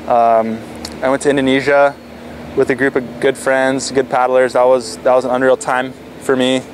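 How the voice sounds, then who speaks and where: A teenage man answering interview questions in a relaxed, casual way, with frequent fillers and restarts. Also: medium pitch, normal speed, slight background noise. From Florida. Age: teens. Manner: laid-back, lots of fillers and restarts. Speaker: stand-up paddle athlete.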